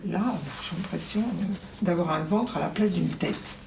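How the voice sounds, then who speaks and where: Tired middle aged woman, low gravelly voice, sounds far off in a roomy space with hiss behind. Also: a little slow. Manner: weary, complaining, hesitant. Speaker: retreat participant. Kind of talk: sharing in a group circle. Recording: roomy, distant mic, clear noise.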